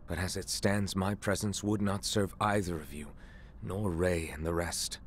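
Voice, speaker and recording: A deep-voiced, grave nobleman warrior for a video game. He speaks in formal, measured language, heavy with remorse yet resolute. There is faint noise behind him. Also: fairly even intonation. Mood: apologetic, resolute. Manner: formal, archaic, measured speech. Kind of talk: video game cutscene acting.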